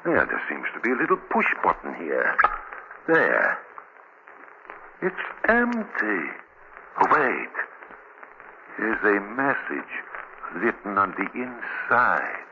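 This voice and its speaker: An actor playing a stubborn, overconfident scientist with formal, halting phrasing in a 1940s radio thriller. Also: male voice, fairly low pitch, huge pitch swings, full character voice. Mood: fearful. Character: stubborn, scoffing male scientist, overconfident then terrified victim. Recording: dull, faintly noisy 1940 radio recording.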